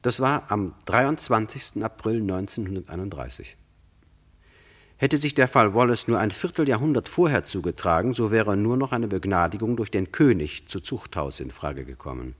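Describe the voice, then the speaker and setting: A deep-voiced male narrator tells a true crime radio play. His delivery is sober and measured, explaining things directly to the listener. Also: big pitch swings.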